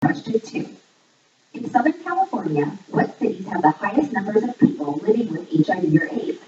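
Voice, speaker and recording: A woman reading a scripted voice-over like a playful quiz presenter, setting out each line clearly. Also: very animated, brisk, mellow. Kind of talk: scripted voice-over narration, trivia quiz for a fundraiser. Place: voice audio played back in a room during filming. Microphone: far camera picking up played-back audio. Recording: echoey, distant, muffled low-quality playback.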